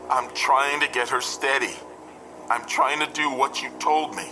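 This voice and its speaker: A very bright, forceful voice over music, a desperate pilot in a failing plane. He sounds strained and anxious, in tense radio drama style. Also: medium pitch, steady tone, measured pace, rough recording. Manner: strained, anxious, faltering delivery.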